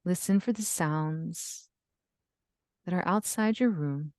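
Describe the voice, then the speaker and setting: A woman leading a guided yoga nidra relaxation, giving gentle, soothing instructions with long pauses. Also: lowish voice, dry recording, studio quality. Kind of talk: guided meditation.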